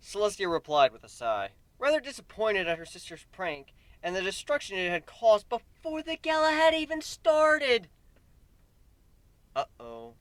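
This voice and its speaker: A man reading a first-person story aloud in a theatrical, exasperated way, dropping low and bursting loud. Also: no room sound. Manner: theatrical, exasperated delivery, swinging from hushed to shouted. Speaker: story reader.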